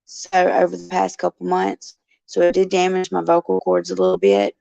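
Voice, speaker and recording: A woman hosting her show with a raspy, gruff voice, chatting in a folksy way and answering viewers by name from a care facility. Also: fairly low pitch, sing-song, moderate pace, dark tone, no room sound. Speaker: show host. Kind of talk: casual chat, answering viewers. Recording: dull lo-fi, dry sound.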